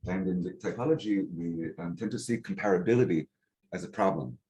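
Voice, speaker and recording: A man giving an academic lecture to a seminar, relaxed and conversational, with frequent um and uh and rhetorical "right?" checks. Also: sits low, a little fast, rough recording. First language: English. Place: lecture hall. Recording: faint background noise, clicks.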